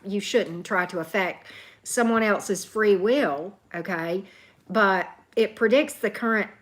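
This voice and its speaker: A woman with a deep voice, hosting a tarot livestream as casual chat, greeting viewers by name and often tagging on "right?". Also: a bit bright. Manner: chatty, keeps asking "right?", greets commenters by name.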